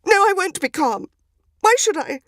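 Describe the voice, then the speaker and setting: A woman voicing a prim, easily upset lady railway passenger, shrill and outraged, in a story reading. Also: very animated, normal speed, a bit bright, no room sound, full character voice. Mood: indignant.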